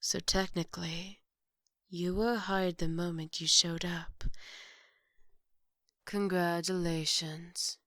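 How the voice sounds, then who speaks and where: A woman playing a composed, formal maid to a vampire, polite and gently teasing, in an ASMR roleplay. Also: fairly low pitch, flat delivery, dry recording, studio quality, full character voice. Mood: dry, matter-of-fact. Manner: polite, measured, wry.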